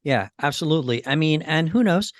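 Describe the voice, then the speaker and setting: A man hosting a casual podcast about movies, thinking aloud in a halting way. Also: on the high side, lively intonation, no echo, studio quality. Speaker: podcast host.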